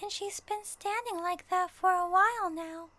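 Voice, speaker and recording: Squeaky, chirpy little fairy companion. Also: female voice, normal speed, bright, forceful tone, no echo. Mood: curious, observant. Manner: squeaky, exclaiming. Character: small childlike floating fairy companion, chirpy, speaks in third person. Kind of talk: fan audio roleplay, game character voice acting.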